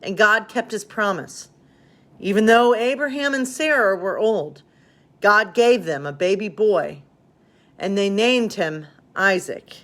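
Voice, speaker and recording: A woman's voice: medium pitch, animated, slow pace, a bit bright. Woman pastor doing bedtime stories for kids, chatty and informal, rambles a bit, talks to you like friends. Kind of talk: casual chat and storybook reading for children.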